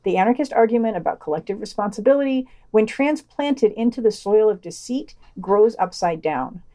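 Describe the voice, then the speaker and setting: A woman reads scripted podcast commentary in a mid-pitched voice with very lively intonation. She slips in wry, dry asides as she goes, sounding like a host with opinions on what she reads.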